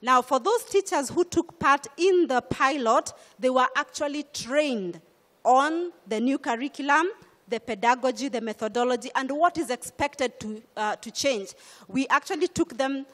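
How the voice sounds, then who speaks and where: A middle-aged woman with a Kenyan accent, speaking on a conference panel about curriculum changes in a measured, explanatory way, into a handheld mic. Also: high voice, lively intonation, a bit bright. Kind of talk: panel discussion. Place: conference stage. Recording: hall reverb.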